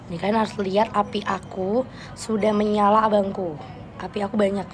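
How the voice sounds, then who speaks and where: A young woman with a Jakarta Betawi slang accent chatting casually with viewers on a live stream, playful and quick to laugh. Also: mid-range voice, flat delivery, a little hiss or hum. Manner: chatty, playful, laughs often, switches registers.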